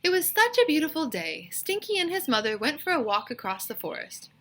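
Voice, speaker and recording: Woman as a high, animated schoolteacher. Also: brisk. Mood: storytelling. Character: kindly female schoolteacher reading to her class.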